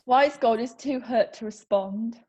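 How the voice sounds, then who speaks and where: A woman's voice: on the high side, unhurried, darkish tone, dull audio. Woman reading stage directions.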